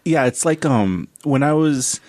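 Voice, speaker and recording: A man co-hosts a podcast in a voice of ordinary pitch. He sounds easygoing and agreeable, laughing often, and the sound is dry and close. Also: measured pace, no room sound. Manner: relaxed, agreeable, frequent laughs and backchannels. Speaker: podcast co-host. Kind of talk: casual podcast interview.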